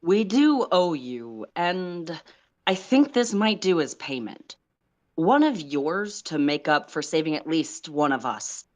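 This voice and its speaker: A woman playing a grateful, pragmatic male commanding officer with a measured, matter-of-fact delivery in a story reading. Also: sits low, sing-song, a little mellow, exaggerated voice. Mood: grateful, businesslike.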